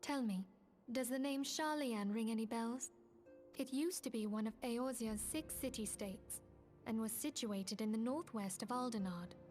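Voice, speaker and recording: A woman's voice: fairly high pitch, a little slow, a little mellow, soft background music, close to an everyday voice. An actress playing a gracious, earnest lady leader in a fantasy video game, speaking formally as she explains lore. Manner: formal, expository storytelling.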